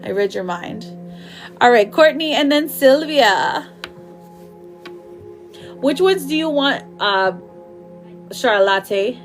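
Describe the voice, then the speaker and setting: Lady tarot reader on a livestream, kinda high voice, super informal and chatty, faint music in the back. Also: animated, slow pace, long pauses. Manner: chatty, informal, affectionate pet names for viewers. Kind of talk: casual live chat while reading cards.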